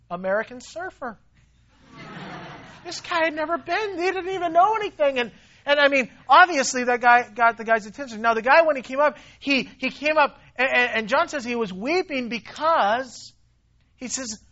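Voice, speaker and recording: A man preaching a sermon to a congregation, emphatic and repetitive, swinging between loud stresses and quiet asides. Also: extremely high pitch, highs cut off. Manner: emphatic, repeats himself, rises and drops in volume. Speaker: pastor.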